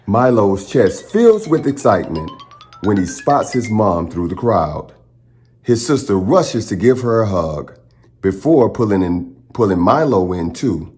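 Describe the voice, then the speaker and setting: A man hosts a children's storytime in a fairly low voice. His pitch moves very freely as he reads. He phrases dramatically, with pauses for his young listeners. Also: big pitch swings, a little mellow, soft background music. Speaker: children's storytime reader and channel host. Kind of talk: expressive picture-book read-aloud.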